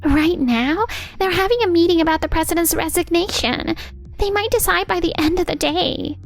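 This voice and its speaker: A woman's voice: very high-pitched, normal speed. A woman playing a friendly, anxious young female office coworker in a manga dub, with a girlish, eager delivery. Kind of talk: anime-style manga dub acting. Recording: background music under the voice. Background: music.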